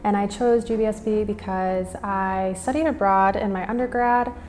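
A woman's voice: mid-range voice, steady tone, normal speed, a little mellow, slight background noise. A young woman from Minnesota with an American accent, giving an interview testimonial as a student. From Minnesota, United States. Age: young adult. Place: indoors against a plain wall and banner. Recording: reverberant hall, music underneath. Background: background music.

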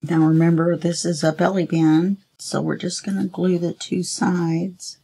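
A woman's voice: fairly low pitch, level tone, measured pace, creaky, mellow. A woman hosting a craft tutorial, casually chatting to herself as she works, a little sniffly. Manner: chatty, talks to herself while working. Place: craft table at home. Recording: noisy home recording.

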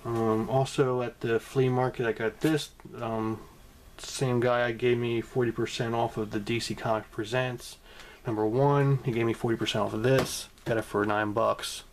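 A man's voice: mid-range voice, little pitch movement, moderate pace, slight background noise. A man casually talking to camera about his comic book haul, rambling with many ums and restarts, in a room at home. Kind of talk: casual vlog talk to camera.

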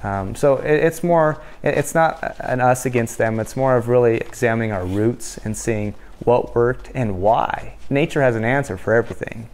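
A man's voice: mid-range voice, moderate pace, few pauses, a little mellow. A man in his thirties from Wyoming, a rancher in a documentary interview, talking earnestly with many restarts and fillers. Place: indoor room.

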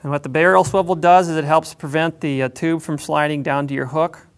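A man explaining how to tie a drift fishing setup, in a plain, unhurried way with frequent pauses. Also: a little high, fairly even intonation, smooth. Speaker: fishing instructor. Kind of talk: instructional demonstration.

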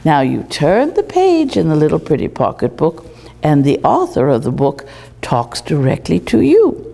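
An actress plays a genteel old colonial lady telling a children's fable. Her voice is very low and creaky, with formal, deliberate phrasing that swings in pitch. It rings in a reverberant room. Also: wildly swooping pitch, dark tone, exaggerated voice. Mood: genteel, instructive. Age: older, 60s or above. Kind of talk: storytelling, reading a fable aloud to children. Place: small indoor room, seated.

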